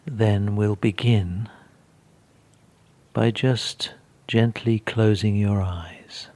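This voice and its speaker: A man leading a guided meditation in a gentle, calm, unhurried manner with long pauses and a husky, gravelly voice. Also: lowish voice.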